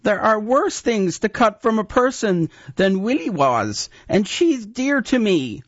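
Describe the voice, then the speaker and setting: A man voicing a timid, frightened young male apprentice who squeaks and stammers, on a dull lo-fi recording. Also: very animated, measured pace, dry recording, full character voice.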